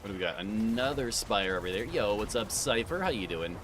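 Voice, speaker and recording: Brief: laid-back man, gamer streaming live, lowish voice, chatty with viewers, steady hiss of noise behind him. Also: a little fast. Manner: relaxed, chatty, greets viewers by name and calls them sir. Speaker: livestream gamer. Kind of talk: casual live-stream chat while gaming. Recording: clear background noise.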